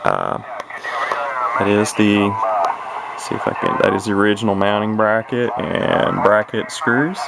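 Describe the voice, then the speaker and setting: A man with a very low voice talks through an old CB radio in an unhurried, rambling way, full of fillers and restarts. The home video is noisy, with radio hiss behind him. It sounds like a camera's built-in mic in a room at home. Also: expressive, gravelly. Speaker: CB radio collector showing a radio. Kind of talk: casual show-and-tell video.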